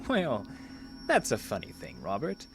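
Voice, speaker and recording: A man's voice: lowish voice, huge pitch swings, a little slow, soft background music, full character voice. An actor playing a suave, sinister male vampire cultist in a horror audio drama, speaking with mocking, genteel menace. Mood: smug lecturing.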